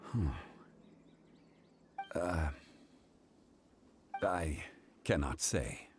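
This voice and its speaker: Ancient male god for a game: low, stoic, formal delivery, light music underneath. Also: lively intonation, full character voice. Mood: reserved, apologetic. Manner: measured, solemn, formal. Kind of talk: video game dialogue, dramatic narration.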